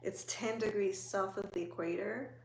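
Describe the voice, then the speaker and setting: A woman's voice: medium pitch, fairly even intonation, normal speed, reverberant, a little hiss or hum, rough recording. A woman hosting a casual livestream, chatting and teasing as she answers viewers' comments from home. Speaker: livestream host.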